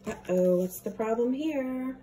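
A young woman teacher reading a storybook aloud to children, with playful, expressive comments. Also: a little high, a little slow, noisy background. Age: young adult.

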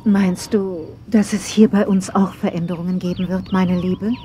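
An actress playing a gentle, caring old grandmother dinosaur in a children's cartoon audio drama. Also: fairly low pitch, level tone, moderate pace, background noise, natural voice.

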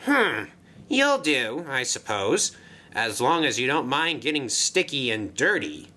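A man's voice: mid-range voice, very animated, a little slow, bright, forceful tone. A man playing a fussy, appraising dairy manager in a children's story, with a skeptical manner.

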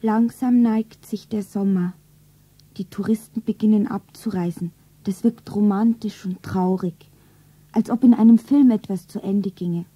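An actress playing a lovestruck, anxious young shop girl in a romance radio play, breathy and often whispering, dreamy and sentimental. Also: medium pitch, steady tone, a little slow, dark tone, dry recording, rough recording. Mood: wistful. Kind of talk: 1970s German radio play, pulp romance.

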